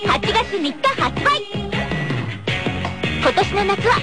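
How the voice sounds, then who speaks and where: A woman's voice: very high voice, sing-song, slow, heavily acted. An actress playing a cheerful, cute teenage girl idol advertising a single. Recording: loud music behind.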